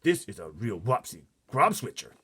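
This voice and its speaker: A man voicing a huge, excitable giant in a storybook, kindly but easily agitated, with muddled folksy grammar and theatrical exclamations. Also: fairly low pitch, normal speed, slightly rough, pitched above the performer's own voice, full character voice. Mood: fierce, exasperated.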